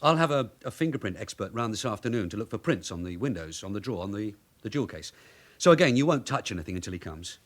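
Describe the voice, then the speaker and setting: A man's voice: fairly low pitch, sing-song, fast. A seasoned London detective sergeant in a radio crime drama, streetwise and bossy. His London-accented delivery swings up and down, with clipped, commanding phrasing that suits a man used to giving orders. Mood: polite, official. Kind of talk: naturalistic British radio drama acting.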